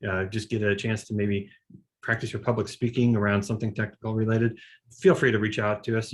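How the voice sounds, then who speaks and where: Casual lowish male webinar host. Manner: casual, filler-heavy, friendly. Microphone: video call.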